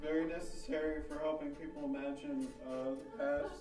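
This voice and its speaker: A nerdy, whiny underling voiced by an actor in a live radio comedy, with a mid-pitched voice. The earnest, petulant delivery comes from off mic in an echoey room with loud music. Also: fairly even intonation, fairly quick, distant mic, lightly acted. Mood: hesitant, earnest. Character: nerdy, eager, petulant reenactor underling named Larry. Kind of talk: old-time radio comedy acting before a live audience.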